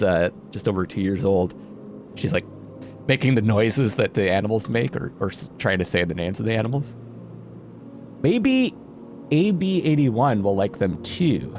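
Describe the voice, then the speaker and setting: Animated man commentating on a game. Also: medium pitch, a little hiss or hum. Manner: thinks aloud, exclamatory. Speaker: let's play host. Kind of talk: gameplay commentary, reading on-screen text aloud. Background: atmospheric game music.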